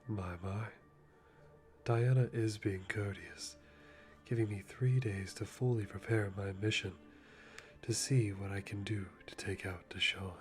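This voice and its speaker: Methodical male hitman, acted by a man, ASMR monologue: soft, lowish, deliberate, dryly amused, light music underneath. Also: steady tone, lightly acted. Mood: calm, calculating. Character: calm, methodical male hitman planning a kill.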